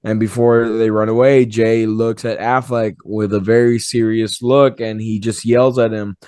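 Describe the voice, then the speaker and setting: Hoarse man hosting a podcast. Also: on the low side, measured pace, no echo, studio quality. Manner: relaxed, rambling, fond of profanity. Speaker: podcast host. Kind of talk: casual chat.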